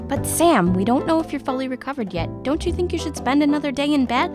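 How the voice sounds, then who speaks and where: A woman's voice: high voice, expressive, fast, over music. A woman playing a fussy, fretful, overprotective mother of a teenage boy in an audio play. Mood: fretful, annoyed.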